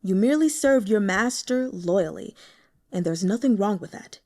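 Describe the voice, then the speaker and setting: A woman voicing a smooth, persuasive older male sect elder who speaks in a coaxing way. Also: mid-range voice, lively intonation, normal speed, a little mellow, lightly acted.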